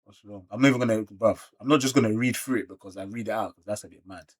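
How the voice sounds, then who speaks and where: Male reaction creator, London British accent, casual and slangy, slightly rough-edged voice, excitable outbursts alternating with muttered comments. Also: fairly low pitch, fast talker. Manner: slangy, animated exclamations mixed with muttered asides. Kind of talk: casual manga read-along reaction.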